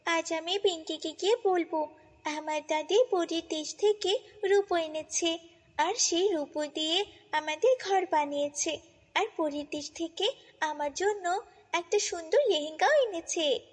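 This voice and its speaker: A woman voicing an excitable, pleading little girl in a children's fairy-tale cartoon, with a childlike manner. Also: extremely high pitch, unhurried. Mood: delighted. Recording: long reverb, music bed.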